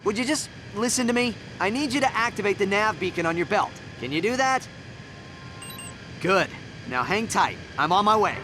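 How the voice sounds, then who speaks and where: High-voiced, urgent young hero. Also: male voice, animated, normal speed, over music. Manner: urgent, practical. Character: brisk, determined young alien adventurer hero. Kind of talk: video game cutscene acting.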